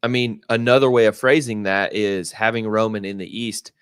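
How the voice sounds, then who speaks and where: A man's voice: lowish voice, fairly even intonation, moderate pace, fairly bright tone, smooth, dry recording, clean studio sound. A man co-hosting a casual podcast chat, dropping wry chuckling asides. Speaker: podcast co-host.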